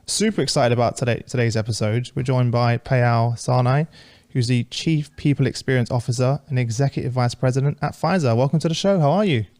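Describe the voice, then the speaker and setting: A man's voice: medium pitch, brisk, a little mellow. Upbeat, friendly male podcast host with a mid-range voice. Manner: upbeat, friendly, laughs easily. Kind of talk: casual interview hosting.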